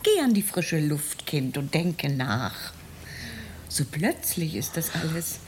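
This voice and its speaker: An actress in a German radio drama playing a middle-aged mother who admonishes gently in a drawn-out, motherly way. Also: deep voice, big pitch swings, background noise, heavily acted. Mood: chiding, concerned. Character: Mrs Westenra, older mother, gently chiding.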